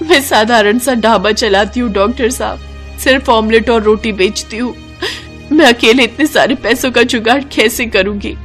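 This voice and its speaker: A woman's voice: fairly high pitch, moderate pace, a bit bright, faint music behind, pitched above the performer's own voice, lightly acted. A woman voicing a patient, hard-working young village woman in a cartoon, eager and pleading. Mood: desperate. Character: patient poor young woman running a roadside eatery.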